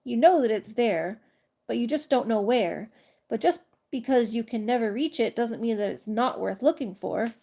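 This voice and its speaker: A woman with a congested cold voicing a calm, wise small child in a storybook reading. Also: fairly high pitch, normal speed, creaky.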